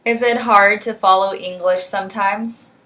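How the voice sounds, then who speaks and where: A woman's voice: medium pitch, fairly even intonation, moderate pace, sharp tone. A young woman, a student interviewing a peer with friendly, encouraging questions. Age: young adult.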